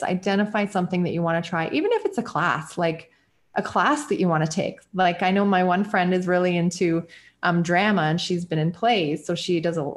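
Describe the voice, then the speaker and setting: A woman in her early forties talking casually as a podcast guest, rambling and animated with lots of fillers. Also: lowish voice. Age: 40s. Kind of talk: casual conversation.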